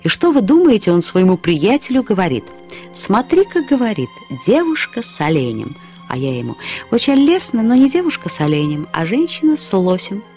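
Актриса изображает ветреную, хвастливую и самоироничную женщину, молодую душой, театрально и игриво, как в радиоспектакле. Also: female voice, medium pitch, sing-song, moderate pace, dark tone, lightly acted. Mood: coquettish, playful. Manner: theatrical, boastful, playfully emphatic. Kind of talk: radio drama character acting. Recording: studio radio play with faint music.

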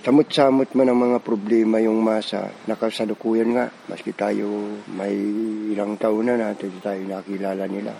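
A man's voice: fairly low pitch, fairly even intonation, normal speed, creaky, mellow, faint music behind, rough recording. A man giving a spoken testimonial as a guerrilla fighter.

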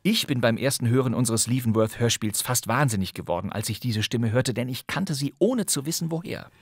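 A middle-aged man hosting a podcast with scripted, playful narration, wry and given to theatrical emphasis and pauses. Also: medium pitch, lively intonation, slightly rough, no room sound, studio quality. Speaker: podcast host. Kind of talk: scripted, playful presentation on radio drama history.

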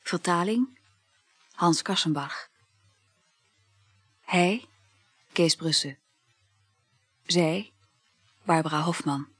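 Female announcer for radio play credits: unhurried, deliberate pacing, dry close sound. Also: fairly low pitch, a bit bright, husky, dry recording.

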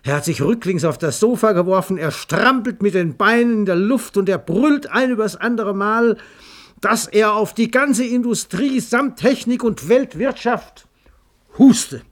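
Male radio storyteller, voice slightly on the high side, theatrical and emphatic scripted narration. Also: expressive, unhurried.

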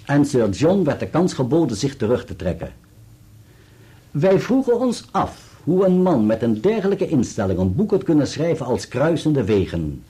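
An actor playing a precise, formal solicitor in a radio play, with an articulate delivery. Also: male voice, mid-range voice, big pitch swings, moderate pace, dark tone, breathy edge. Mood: declamatory, precise. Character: dutiful male solicitor reading an article aloud, precise and formal.

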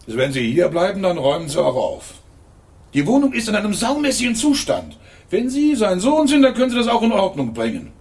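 A gruff, contemptuous caretaker, high-pitched for a man and swinging wildly in tone. He scolds and sneers like a petty house tyrant in an old radio play. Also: wildly swooping pitch, brisk, full character voice. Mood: scolding. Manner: gruff, contemptuous, bursts into shouting. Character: hostile bigoted male building caretaker. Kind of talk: radio drama acting.

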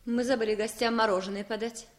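A woman's voice: a little high, little pitch movement, quick pace, lightly acted. A woman playing a tired, practical young wife in a 1960s Soviet radio play. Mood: concerned.

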